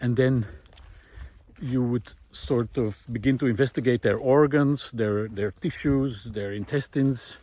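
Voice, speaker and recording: A middle-aged man thinking aloud in an informal, reflective monologue, often pausing mid-phrase as he searches for words. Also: medium pitch, mellow, dry recording. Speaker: art teacher giving a recorded monologue. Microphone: close recorder carried while walking.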